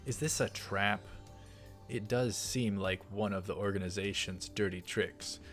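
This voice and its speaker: Man voicing a low-toned paranoid mad scientist. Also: steady tone, measured pace, a bit bright, soft background music. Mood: suspicious. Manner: dramatic, suspicious inner monologue. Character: paranoid, grandiose self-styled male mad scientist.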